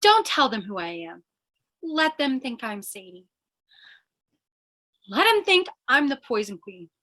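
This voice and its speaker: A woman playing an excitable, melodramatic young newspaper reporter in old-time radio style, with urgent, breathless exclamations. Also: high-pitched, measured pace, exaggerated voice. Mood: indignant, threatening. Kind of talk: old-time radio comedy thriller acting.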